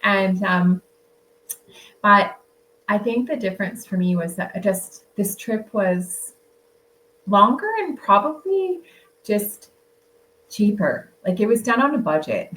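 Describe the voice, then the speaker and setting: A woman's voice: mid-range voice, expressive, takes it slowly, long pauses, fairly bright tone, low-quality recording. A woman author, a guest, telling travel stories in a casual, chatty way and laughing often. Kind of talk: casual storytelling.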